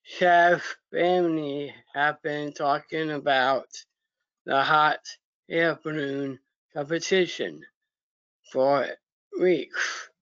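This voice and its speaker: A man reading a children's story aloud haltingly, in short phrases with pauses and stumbles, over a video call. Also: fairly high pitch, fairly even intonation, a bit bright, smooth, dry recording, muffled recording. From United States. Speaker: story reader.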